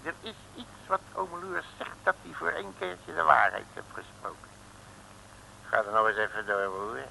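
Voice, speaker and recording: An actor playing a wily, jocular detective in an old radio serial, with a folksy, teasing manner. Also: male voice, fairly low pitch, normal speed, gravelly, pushed and bright, exaggerated voice. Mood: probing, jocular. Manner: folksy, teasing, colourful mock-oaths. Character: wily, jocular male detective, self-styled uncle. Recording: noisy old radio drama recording.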